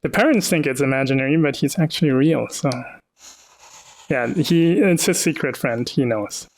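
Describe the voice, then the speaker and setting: A man's voice: fairly high pitch, normal speed, low-quality recording. A man from Hamburg with a light North German accent, chatting casually and explaining his work while he animates on a live stream. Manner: easygoing, laughs often, explains patiently. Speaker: artist hosting a live stream.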